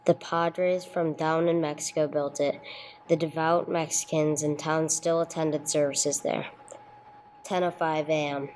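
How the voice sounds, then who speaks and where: A woman's voice: low-pitched, level tone, a little slow, a little mellow, a little hiss or hum, poor audio. A woman playing a dry, businesslike police detective sergeant in a radio drama, with terse, matter-of-fact delivery. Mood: deadpan, matter-of-fact. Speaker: narrator and lead actor. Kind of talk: old-time radio police drama, scripted narration.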